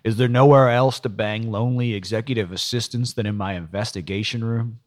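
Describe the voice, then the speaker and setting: A man's voice: fairly low pitch, normal speed, lightly acted. A man voicing an angry, exasperated male police detective in an audiobook, scolding with profane rhetorical questions.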